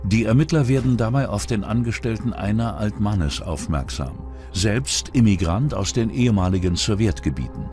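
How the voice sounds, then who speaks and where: A man's voice: lowish voice, expressive, moderate pace, hardly any pauses. A man narrating a true-crime documentary from a script. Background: music bed.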